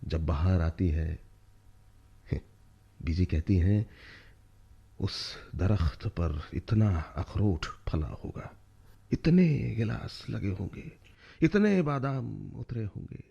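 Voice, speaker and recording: Animated, courteous young male guest. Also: sits low, takes it slowly, vocal fry, long pauses, husky, highs cut off, exaggerated voice. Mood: fond, wistful. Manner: courteous, hesitant, repeating himself. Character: polite, deferential younger truck-driver guest, eager and modest. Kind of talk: Hindi radio play acting.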